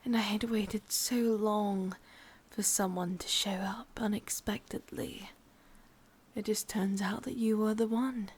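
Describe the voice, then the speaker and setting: A woman voicing a sadistic, possessive forest witch in an ASMR roleplay, with an English accent, half-whispering and teasing in drawn-out phrases. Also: medium pitch, steady tone, darkish tone, slight background noise, close to an everyday voice. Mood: sly, gloating.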